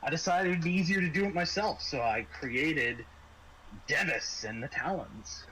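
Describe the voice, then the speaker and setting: A man's voice: fairly high pitch, moderate pace, noisy background, natural voice. A man voicing an exasperated, bitter crooked ex-lawman in a sci-fi tabletop game, griping wearily. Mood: bitter, fed up. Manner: weary, griping, swearing.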